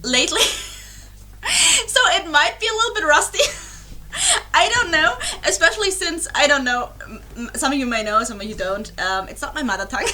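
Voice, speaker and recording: Bright-voiced woman rambling to camera. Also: high-pitched, animated, moderate pace, a little hiss or hum. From Germany. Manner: rambling and apologetic, many fillers and giggles. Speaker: reaction YouTuber. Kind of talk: casual chat to camera. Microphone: webcam.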